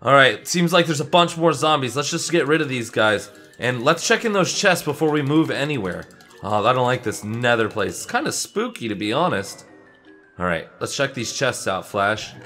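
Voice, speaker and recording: A man's voice: mid-range voice, moderate pace, faint music behind. A young man playing a friendly, eager superhero in a casual, chatty, improvised video game roleplay. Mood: wary. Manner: chatty, excitable, buddy-like.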